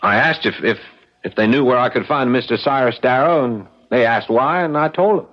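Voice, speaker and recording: Casting: man playing young accused husband, mid-pitched, halting, hesitant, muffled old-time radio drama. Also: natural voice. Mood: uneasy, defensive. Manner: halting, repeating himself.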